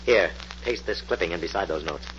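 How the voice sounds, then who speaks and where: A confident American scientist-detective in a vintage radio mystery. His voice is fairly low, precise and authoritative. The recording is muffled, with faint noise. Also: fairly quick, a bit bright. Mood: musing, assured. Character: confident, methodical male scientist-sleuth, brisk and commanding. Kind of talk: 1940s radio acting. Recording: muffled, noisy old-time radio recording.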